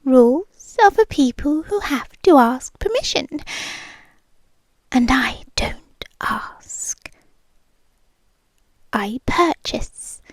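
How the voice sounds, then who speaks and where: Female actor as a British yandere heiress, obsessive and possessive, unhurried purring whispers. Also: high-pitched, expressive, no room sound, heavily acted. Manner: soft whispered, slow, purring menace. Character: possessive obsessive rich young woman captor. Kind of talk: ASMR roleplay.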